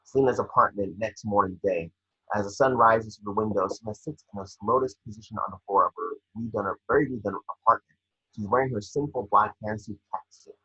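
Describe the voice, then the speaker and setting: A man's voice: fairly low pitch, fairly quick, sharp tone, breathy edge, highs cut off. A man reading screenplay scene directions aloud as narrator, stumbling over words now and then.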